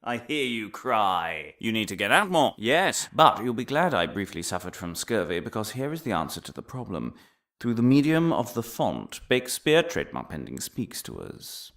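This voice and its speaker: An actor playing a pompous crackpot professor, giving a comic mock lecture with theatrical pauses and sudden outbursts. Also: male voice, fairly low pitch, very animated, a bit bright. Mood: excitable, confiding.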